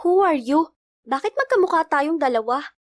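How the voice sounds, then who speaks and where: A woman's voice: high-pitched, lively intonation, normal speed, voice pushed up. A woman voicing a polite but aloof little boy who is wary of strangers, in a storybook narration. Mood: curious. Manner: snobbish, polite childlike speech.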